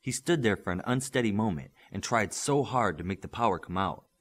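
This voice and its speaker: A man's voice: fairly low pitch, animated, brisk. A man giving a scripted narration of a novel as an audiobook reader.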